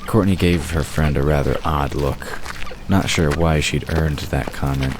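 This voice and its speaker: Very deep-voiced male narrator. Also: unhurried, noisy background. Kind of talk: scripted audio drama narration.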